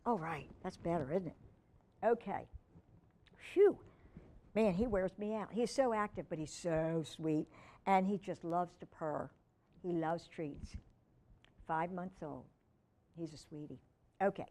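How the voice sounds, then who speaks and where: A woman's voice: fairly low pitch, measured pace, long pauses, mellow. A woman reading a children's book aloud expressively, with chatty asides to young listeners and a pet. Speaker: children's storytime reader.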